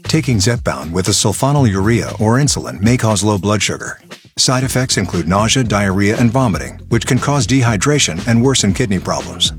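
A man reading a drug-ad safety disclaimer in a steady, measured voice. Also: lowish voice. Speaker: ad announcer.

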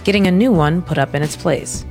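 A woman's voice: fairly low pitch, fairly quick, a little mellow, soft background music. A woman reading a podcast promo in a wry, pointed way. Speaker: podcast host. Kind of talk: promo read.

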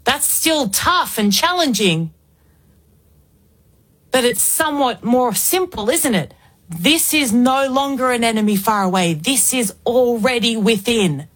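Australian woman, 40s, talk radio host; emphatic rhetorical delivery, fairly high voice. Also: a bit bright. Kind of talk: political talk radio monologue.

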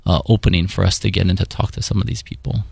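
A man in his forties from Hawaii, a paramedic supervisor talking as a guest in a radio studio, relaxed and thoughtful with many fillers. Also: low voice, fast talker, gravelly, breathy edge, no room sound. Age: 40s. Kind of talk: casual interview in studio.

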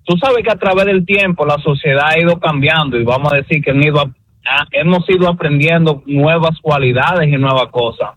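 A man's voice: fairly high pitch, a little fast, a bit bright, dry recording, poor audio. A man from the Dominican Republic with a Dominican accent, telling a long, chummy story to the host. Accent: Dominican Spanish. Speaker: caller or guest.

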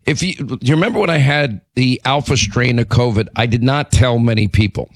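A middle-aged man hosting a talk radio show with a hoarse, raspy voice from laryngitis, clearing his throat and talking emphatically. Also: medium pitch, a little fast, dry recording. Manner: emphatic, repetitive, combative. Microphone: studio microphone.

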